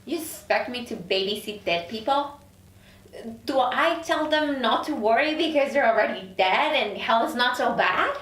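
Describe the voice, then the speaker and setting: High-voiced indignant career woman played by an actress. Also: animated, moderate pace, fairly bright tone. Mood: incredulous, sarcastic. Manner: sarcastic, incredulous. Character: proud, indignant career woman newly arrived in hell. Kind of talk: script table read. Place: echoey room, recorded from off. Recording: roomy, distant mic, faint noise.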